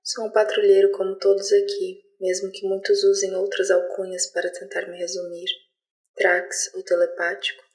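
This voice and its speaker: A woman playing an even-toned, lonely alien lizard patroller. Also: mid-range voice, normal speed, lightly acted, rough recording. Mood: solemn, wistful. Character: lonely alien lizard patroller, gifted, wistful, keeping a log. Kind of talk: scripted audio drama diary monologue.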